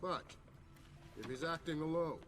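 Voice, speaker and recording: An actor playing a measured intelligence chief who briefs the president in a game cutscene. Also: male voice, fairly high pitch, a bit bright. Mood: grave. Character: calm measured male intelligence chief, middle-aged. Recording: game soundtrack with clear music.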